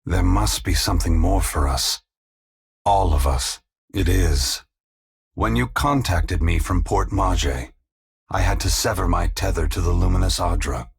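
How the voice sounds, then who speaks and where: An actor playing a weary, compassionate god in a video game, speaking in a grave, measured, formal way with a gravelly, husky voice. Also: male voice, low-pitched, full character voice. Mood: solemn, resolute. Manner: slow, measured, philosophical, formal. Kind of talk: video game voice acting, dramatic dialogue.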